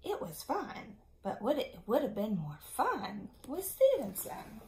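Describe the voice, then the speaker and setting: A woman with a fairly high, very lively voice reads a storybook to small children. She is expressive and teacherly and checks in with her young listeners. The room around her is clearly echoey. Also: measured pace, a little hiss or hum. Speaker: schoolteacher. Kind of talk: picture book read-aloud for young children. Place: small indoor classroom-style room.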